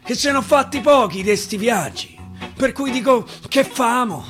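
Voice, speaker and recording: A man's voice: very high-pitched, expressive, a little slow, voice pushed up. A man with a Roman accent voicing a stubborn, impatient explorer in a recited dialect poem, blunt and exasperated, over a guitar on a radio broadcast. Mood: indignant. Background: guitar music.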